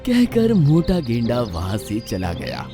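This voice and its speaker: A man's voice: mid-range voice, huge pitch swings, takes it slowly, dark tone, faint music behind. A man narrating a scripted children's cartoon story in a dramatic storytelling style.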